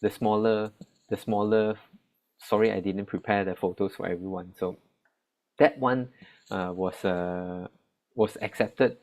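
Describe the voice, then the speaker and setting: A young man with a Malaysian Chinese accent, giving a talk about relief work, halting often with fillers and restarts. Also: lowish voice, fairly even intonation, a little mellow, low-quality recording. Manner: hesitant, many fillers and restarts. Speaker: relief volunteer. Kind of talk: spoken talk.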